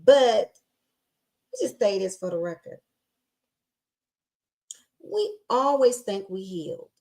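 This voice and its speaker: A woman's voice: medium pitch, expressive, slow pace, warm tone. Scratchy-voiced sassy woman host. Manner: sassy, emphatic, preachy with slang. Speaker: podcast host. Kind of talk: casual motivational chat.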